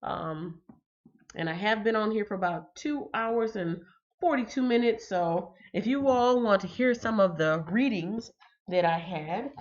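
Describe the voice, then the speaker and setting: A woman's voice: mid-range voice, muffled recording. A woman hosting a casual livestream, chatting with viewers and reading their comments, her voice a little congested. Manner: chatty, reads out viewer comments, frequent ums. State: slightly congested. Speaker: livestream host.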